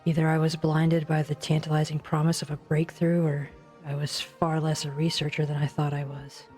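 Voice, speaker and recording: A weary researcher played by a woman speaks gravely and deliberately in a low voice. She sounds sombre yet resolute, as in a game drama, with faint music underneath. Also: little pitch movement, a little mellow, close to an everyday voice. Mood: self-reproach. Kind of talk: English fan dub of an anime game.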